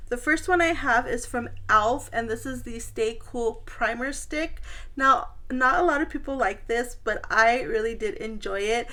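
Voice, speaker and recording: A woman's voice: on the high side, a little slow, bright, forceful tone. A woman beauty vlogger chatting casually and informally to her viewers as she reviews products.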